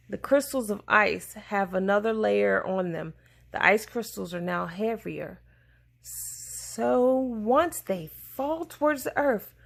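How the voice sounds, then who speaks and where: A woman reading a children's science book aloud to a child in a deliberate, explaining way, pausing to answer questions. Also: mid-range voice, vocal fry, a bit bright.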